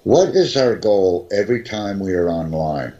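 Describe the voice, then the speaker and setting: Slow, deep-voiced man teaching English. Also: animated, warm tone, muffled recording. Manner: slow, carefully enunciated, repeats key phrases. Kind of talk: slow, deliberate teaching talk.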